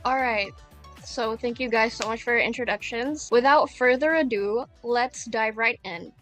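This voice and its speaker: A woman's voice: on the high side, normal speed, fairly bright tone, faint music behind. A young woman hosting a student podcast, reading upbeat introductions and questions. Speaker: podcast host.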